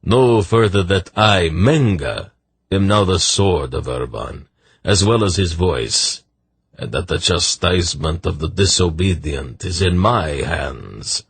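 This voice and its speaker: A cruel disciple, acted by a male storyteller, deep and gravelly. He intones archaic speech slowly and sardonically, with a threat under every phrase, in a fantasy audiobook. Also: animated, a bit bright, no room sound, exaggerated voice. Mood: sardonic threat.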